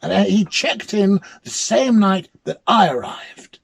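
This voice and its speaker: A man performs an irritable, unnerved male lodger in a dramatic story. The voice is pushed high and loud. He insists urgently and stumbles over his words. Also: expressive, unhurried, vocal fry, breathy edge, exaggerated voice. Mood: irritated insistence. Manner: stammering, insistent.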